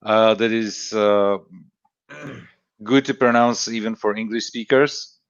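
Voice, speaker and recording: Man, halting voice chat. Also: fairly low pitch, fairly even intonation, vocal fry, clear, resonant tone, dry recording, lo-fi sound. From Slovakia. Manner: halting, many fillers and restarts. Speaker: stream chat participant. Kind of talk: casual chat.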